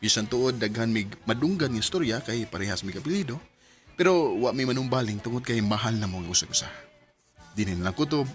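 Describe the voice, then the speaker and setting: Man acting an animated, devoted young boyfriend. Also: mid-range voice, a little fast, faint music behind, natural voice. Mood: reflective. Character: devoted young boyfriend recounting his love story. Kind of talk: radio drama acting.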